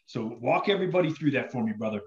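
A man's voice: mid-range voice, animated, quick pace. A man in his forties hosting a podcast over a video call in a casual, slangy way. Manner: informal, slangy. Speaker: podcast host. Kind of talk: casual podcast introduction and questions. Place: indoor room with backdrop. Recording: echoey, lo-fi room sound.

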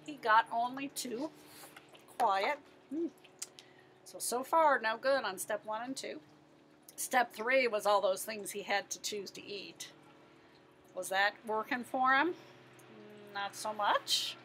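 A middle-aged American woman reads a story to young children in a high, bright voice. She takes her time, stressing words expressively and posing questions as a teacher would. Clear ambient noise sits behind her. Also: takes it slowly, long pauses, rough recording. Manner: expressive, emphatic, asks and answers own questions. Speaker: teacher-librarian reading to children. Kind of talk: animated picture-book read-aloud with questions to young listeners.

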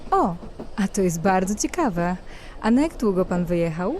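Mellow, low-voiced flirtatious lady played by an actress. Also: sing-song, moderate pace, slight background noise. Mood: curious, teasing. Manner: coy, teasing, playful questioning. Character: flirtatious, elegant, self-assured lady traveler on a train. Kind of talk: Polish audio drama dialogue.

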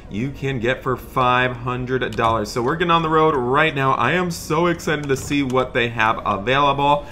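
A man narrating an improvised game roleplay as an excited, friendly dad, chatty and full of exclamations. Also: mid-range voice. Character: excited friendly dad shopping for project cars. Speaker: host of a gaming roleplay channel.

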